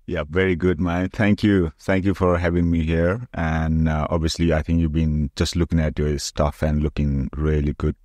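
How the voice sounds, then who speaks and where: Very deep, even Nepali-accented man. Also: normal speed, hardly any pauses, no echo. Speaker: podcast guest, former soldier. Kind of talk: casual interview storytelling. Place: small indoor room with curtains. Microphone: close podcast microphone on arm.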